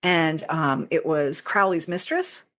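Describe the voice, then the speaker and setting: Lower-pitched woman chatting casually. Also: slow pace, fairly bright tone. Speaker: podcast guest, fan fiction author. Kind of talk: casual chat.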